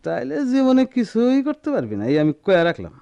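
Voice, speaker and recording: Radio drama rogue: young crooked workman, mocking, sly, rural Bangladeshi accent, highly animated delivery. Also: fast talker, gravelly, smooth, muffled recording, full character voice. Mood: sly, scornful. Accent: rural Bangladeshi dialect. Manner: sly, teasing. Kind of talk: Bengali radio drama acting.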